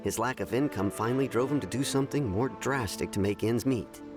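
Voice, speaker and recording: A man's voice: mid-range voice, a little fast, natural voice. A man voicing a reserved, observant man in a video game who speaks in a thoughtful, polite way. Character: measured, observant man keeping an eye on the city. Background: game music.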